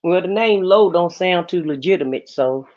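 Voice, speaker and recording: Deep-toned woman, colloquial, lo-fi audio. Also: lively intonation, normal speed, darkish tone. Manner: colloquial, opinionated. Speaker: co-host of a livestream. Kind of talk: casual gossip commentary chat. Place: livestream call. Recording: muffled lo-fi livestream audio.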